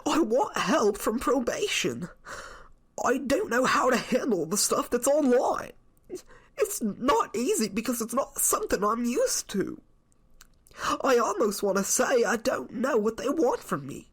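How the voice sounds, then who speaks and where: Woman acting as a tearful, pleading male defendant, high-pitched. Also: big pitch swings, husky, exaggerated voice. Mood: hesitant, upset. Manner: halting, repeating, whiny. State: crying, voice breaking. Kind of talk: amateur readers theater court transcript reenactment.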